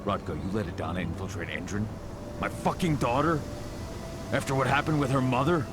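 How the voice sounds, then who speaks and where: A man's voice: medium pitch, fairly even intonation, a little fast, heavy background noise, lightly acted. An actor playing a tough, brooding exiled warrior and protective father, terse and intense, in a video game cutscene. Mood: angry. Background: cinematic background music.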